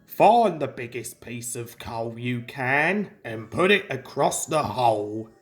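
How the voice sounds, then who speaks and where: A storyteller voicing a steady male engine driver in a children's story, giving firm, instructive orders. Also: medium pitch, slow pace, faint music behind, voice pushed down. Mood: urgent.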